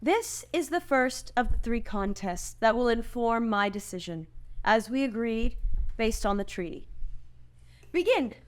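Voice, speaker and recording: A woman's voice: fairly high pitch, animated, measured pace. A woman playing a proud, resolute young princess in a dramatic screenplay table read. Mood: formal, gracious.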